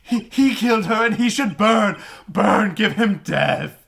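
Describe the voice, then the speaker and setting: Man doing a jittery, high-pitched shifty witness. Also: lively intonation, measured pace, vocal fry, fairly bright tone, exaggerated voice. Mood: panicked rage. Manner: stammering, defensive, agitated. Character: shifty, jittery male witness, quick to anger. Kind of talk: video game fan dub. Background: game music.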